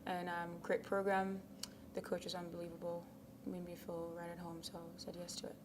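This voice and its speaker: Young woman from Ghana, college athlete, low even voice, soft-spoken with lots of ums, noisy background. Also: brisk. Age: young adult. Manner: soft-spoken, many ums, drops to near whispers. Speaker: college tennis captain. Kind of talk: casual interview answers.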